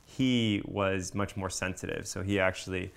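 A man with a deep voice speaks candidly about his own life. There is a clear creak in his tone, and he talks in a reflective, conversational way. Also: steady tone, moderate pace. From Miami, United States. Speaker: storyteller sharing a personal coming-out story. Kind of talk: candid personal storytelling.

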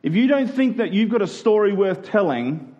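A man's voice: sits high, lively intonation, normal speed, darkish tone, highs cut off. A man preaching a sermon to a congregation in an emphatic style, using rhetorical questions and repetition.

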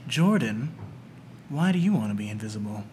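A man hosting a casual group podcast, playfully spinning improvised scenarios in a noisy, rumbly room recording. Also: animated, moderate pace. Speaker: podcast host.

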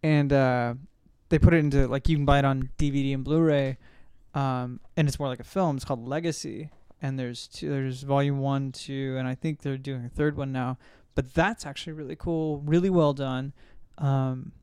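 Clear-voiced man chatting in a dry room. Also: mid-range voice, steady tone, moderate pace, few pauses, darkish tone, no room sound. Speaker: podcast host. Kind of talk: casual pop-culture chat.

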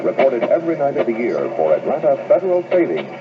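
A man's voice: mid-range voice, big pitch swings, quick pace, background noise. A man reading scripted 1970s AM radio announcements as a news announcer. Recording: muffled 1970s AM radio, music behind.

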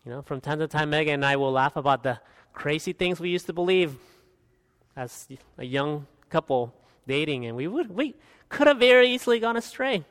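A man in his thirties from Guatemala, preaching an expository sermon as pastor, earnest, swinging from emphatic peaks to quiet asides. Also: fairly high pitch, animated, normal speed, clear, resonant tone. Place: church hall. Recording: long hall reverb.

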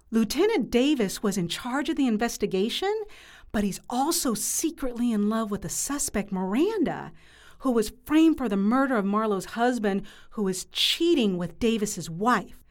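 A woman playing a self-important, eager bookworm, earnest and chatty, in an audio drama. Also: on the high side.

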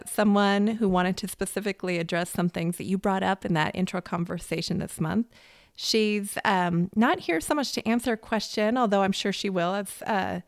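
An enthusiastic woman host speaks in a mid-range voice, bright in manner and quick to laugh. Her sound is dry and close, with no echo. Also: mid-range voice, creaky. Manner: upbeat, enthusiastic, laughs readily. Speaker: podcast host. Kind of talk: casual podcast hosting. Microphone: video call.

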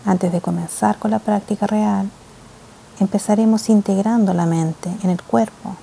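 A woman meditation guide speaks in a very dark, soft tone with a creaky edge. She delivers scripted instructions slowly, in short phrases with gentle pauses. Noticeable ambient noise sits behind her voice. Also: medium pitch, fairly even intonation, low-quality recording.

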